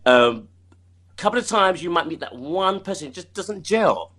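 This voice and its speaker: A man's voice: sits high, expressive, moderate pace, a bit bright. A man talking casually as an interview guest, rambling and repeating himself, laughing often. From United Kingdom.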